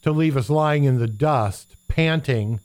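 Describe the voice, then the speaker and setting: A man's voice: medium pitch, unhurried, a little mellow. Folksy pastor man, plain-spoken. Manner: folksy, conversational preaching.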